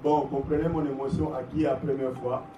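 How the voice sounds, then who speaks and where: A man's voice: mid-range voice, flat delivery, fairly quick. Male pastor, fiery repetitive preaching, echoey church, recorded from afar, noisy. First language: Portuguese. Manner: impassioned preaching, shouts and repeats phrases. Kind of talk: sermon. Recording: echoey room, distant mic, background noise.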